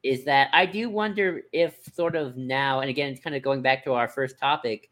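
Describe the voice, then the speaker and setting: A man's voice: medium pitch, moderate pace, fairly bright tone. A man co-hosting a podcast in casual discussion, rambling and hesitant, often circling back over his phrases. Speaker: podcast co-host.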